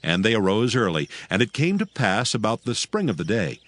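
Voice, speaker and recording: A man's voice: fairly low pitch, sing-song, fairly quick, a bit bright. A man narrating a dramatized Bible audiobook in a formal storytelling delivery. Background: background music.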